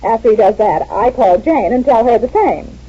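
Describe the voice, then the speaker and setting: A young schemer in an old radio comedy, brisk and conspiratorial, with a high, lively voice. The sound is dull and lo-fi, like an old radio recording. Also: female voice, husky. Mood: conspiring. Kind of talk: 1940s radio acting.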